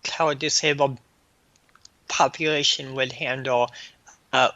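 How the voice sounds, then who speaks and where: A man answering as an interview guest in a halting, stammering way, often repeating sounds and words. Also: mid-range voice, steady tone, bright, forceful tone, no room sound, highs cut off. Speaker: guest author and anthology editor.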